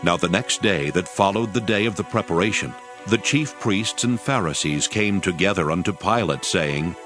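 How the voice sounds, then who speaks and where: A man narrating a dramatized Bible reading in a solemn, measured storytelling manner. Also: low voice, lively intonation, music in the background, low-quality recording.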